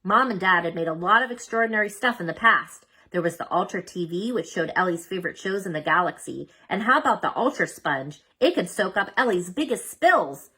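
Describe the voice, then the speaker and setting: A woman reading a children's storybook aloud as an expressive narrator. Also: fairly low pitch, fairly quick, few pauses, slightly rough. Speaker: storybook reader.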